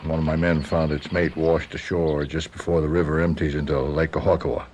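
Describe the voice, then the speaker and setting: An actor with an American accent playing a folksy, plainspoken local lawman in a radio mystery, halting and colloquial. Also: a bass voice, flat delivery, a little mellow, slight background noise. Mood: awkward, sympathetic. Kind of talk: radio mystery drama acting.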